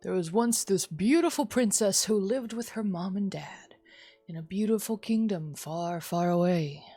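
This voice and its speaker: A woman plays a gentle father in a story game, telling an ominous bedtime tale. The delivery is very slow and hushed, measured out phrase by phrase, with faint music behind. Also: medium pitch, slightly rough, no room sound. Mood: ominous, soothing. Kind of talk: visual novel read-aloud.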